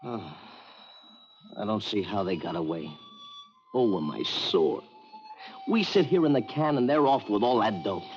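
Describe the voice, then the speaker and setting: An American actor playing an anxious, sarcastic clerk in 1940s radio style, fretful and full of wisecracks. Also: male voice, on the low side, lively intonation, unhurried, long pauses, a little mellow, soft background music. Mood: sore. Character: anxious, sarcastic male clerk, a gambler's exasperated pal. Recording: muffled old radio recording.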